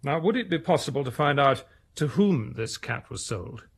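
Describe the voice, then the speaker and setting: An actor playing a polite, probing Scotland Yard detective with a British accent and hesitant manner in a radio drama. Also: male voice, medium pitch, very animated, normal speed, creaky, slightly rough, full character voice. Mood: courteous.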